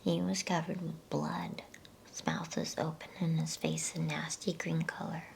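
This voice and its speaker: A woman reading a bedtime story in a breathy, soothing ASMR whisper. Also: low-pitched, level tone, a little hiss or hum. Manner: hushed, unhurried, soothing delivery. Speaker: storybook reader.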